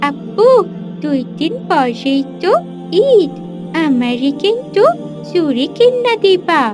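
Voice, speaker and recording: A woman's voice: high-pitched, big pitch swings, slow pace, over music, exaggerated voice. A woman voicing an insistent, whiny little village girl with a rural Bangladeshi accent in a cartoon. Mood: whiny insistence.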